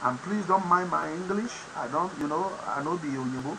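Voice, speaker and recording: A man with a Nigerian accent whose first language is Yoruba hosts an online program, giving impassioned political commentary with sudden loud, emphatic bursts. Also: on the high side, moderate pace, background noise. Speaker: host of an online talk program.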